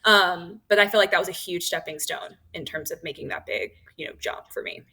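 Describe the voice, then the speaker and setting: A woman's voice: on the low side, a little fast, sharp tone, husky. A woman in her thirties, a podcast guest and author, talking candidly and enthusiastically with lots of fillers. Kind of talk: casual interview conversation.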